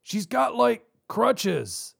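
Man acting nervous male robber, raised pitch, hesitant slangy blurting, agitated, audio story. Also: sing-song, very slow, creaky, fairly bright tone, breathy edge, heavily acted. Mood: confused.

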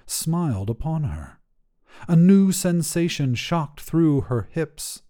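A male narrator reads literary fiction at an unhurried pace. His voice is soft-edged and warm, with a measured, theatrical sense of storytelling. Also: mid-range voice.

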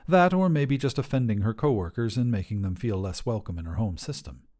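A man's voice: on the low side, animated, brisk, a little mellow. A man reading a scripted short story as a podcast narrator.

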